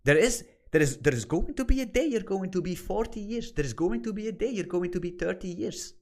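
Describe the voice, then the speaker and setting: A man's voice: sits high, animated, a little fast. A man in his thirties whose first language is Dutch, teaching an informal online course in a blunt, swearing manner and repeating his points for emphasis. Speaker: course instructor. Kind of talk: informal screen-share lesson.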